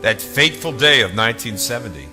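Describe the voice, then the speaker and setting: A man reading his own novel as a theatrical, emphatic audiobook narrator, with dramatic pauses. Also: mid-range voice, lively intonation, bright, forceful tone. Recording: long reverb, faint music behind.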